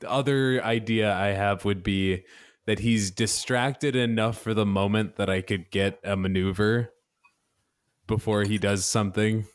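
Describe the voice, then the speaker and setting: A man's voice: fairly low pitch, fairly even intonation, unhurried, clean tone. A man chatting casually as a player in a tabletop roleplaying game.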